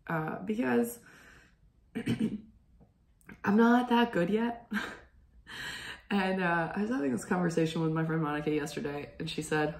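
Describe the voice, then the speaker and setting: A young adult woman hosting a knitting show, chatting casually and rambling, hoarse and clearing her throat often. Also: lowish voice, measured pace, pauses often. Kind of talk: casual chat. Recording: echoey room.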